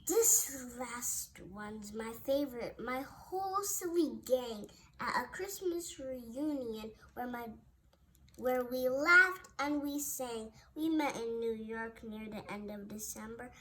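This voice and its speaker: A woman with a very high, youthful-pitched voice reads a rhyming children's story aloud at a slow pace. She is halting and often repeats words as she goes. Clear background noise sits behind her. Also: animated, a bit bright. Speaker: storybook reader.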